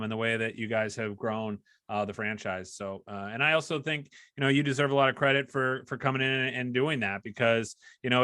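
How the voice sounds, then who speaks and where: A man's voice: medium pitch, sharp tone, clean tone, no room sound, clean studio sound. An American man hosting a podcast conversationally, speaking in an appreciative, encouraging way to a guest. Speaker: podcast host, sports broadcaster.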